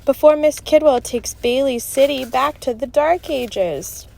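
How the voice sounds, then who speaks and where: A woman's voice: sits high, measured pace, creaky, darkish tone, voice pushed up, exaggerated voice. A woman voicing an outspoken, worried schoolgirl in a storybook, urgent and blurting. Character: outspoken, worried schoolgirl defending her friends.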